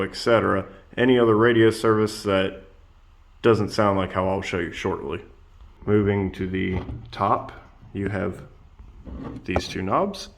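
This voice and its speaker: A man's voice: low voice, gravelly. A man giving a casual product demonstration in a plainspoken, explanatory way. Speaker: product reviewer.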